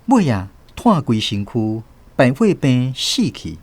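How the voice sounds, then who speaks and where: Radio drama narrator, an earnest nephew, Taiwanese accent, mid-pitched with lively swings. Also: male voice, slow, slightly rough, exaggerated voice. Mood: sorrowful, reflective. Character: earnest nephew recalling his family's sorrows.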